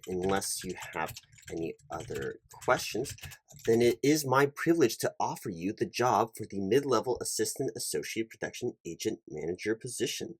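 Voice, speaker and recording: Low-pitched man, officious interview roleplay. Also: husky. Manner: polite, officious, halting corporate patter. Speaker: performer playing a recruiting hiring manager. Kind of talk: one-sided job interview roleplay, ASMR.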